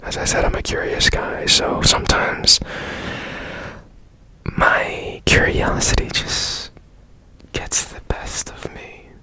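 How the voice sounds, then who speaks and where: A man playing a strange, curious repairman in a whispered ASMR roleplay, breathy and gently reassuring with repeated soft phrases. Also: slow pace, rough recording. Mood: musing, curious. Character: strange, curious, soothing male repairman of broken machines. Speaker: ASMR performer.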